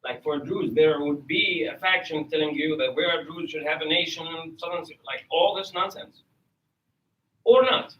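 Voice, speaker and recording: A man's voice: a little high, moderate pace, pushed and bright, muffled recording. A man on a panel who talks bluntly, with dismissive asides. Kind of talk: panel discussion. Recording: distant mic.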